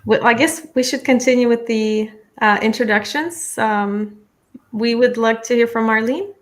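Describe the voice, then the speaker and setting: A woman with a fairly high voice co-hosts a video call. She moderates casually, steering the introductions along. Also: normal speed. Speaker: co-host. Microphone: video call microphone.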